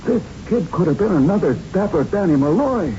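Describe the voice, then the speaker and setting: A world-weary old gym owner in a radio play. His voice is high and mellow, full of streetwise grumbling, on a dull old broadcast with faint noise. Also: animated, a little fast. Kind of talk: radio drama acting.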